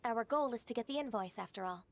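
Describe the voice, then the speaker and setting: A woman voicing a composed, formal female secretary in a video game, polite and measured, over game music. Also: fairly high pitch, animated.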